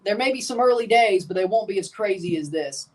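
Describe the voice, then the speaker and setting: A man streaming a live match watchalong, chatting casually and calling goals with excitement while guarding a strained voice. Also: high-pitched, brisk, distant mic, poor audio.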